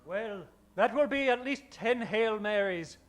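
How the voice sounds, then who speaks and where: A man's voice: high voice, lively intonation, unhurried, a bit bright, faint music behind. A man playing a fussy, solemn professor-priest in an old-time radio comedy, theatrical and portentous.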